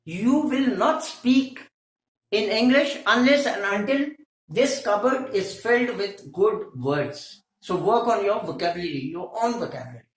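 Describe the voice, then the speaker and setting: A woman's voice: medium pitch, expressive, a little slow. Pakistani accented woman lecturing teachers, firm and scolding, asks rhetorical questions, roomy echo. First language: Hindko. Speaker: teacher trainer. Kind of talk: training workshop lecture.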